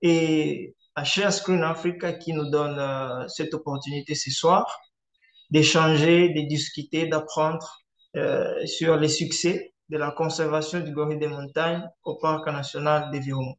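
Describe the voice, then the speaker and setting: A man from eastern Congo, a Swahili speaker, giving a measured online presentation as a conservationist with frequent hesitation fillers. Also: fairly high pitch, fairly even intonation. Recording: roomy echo.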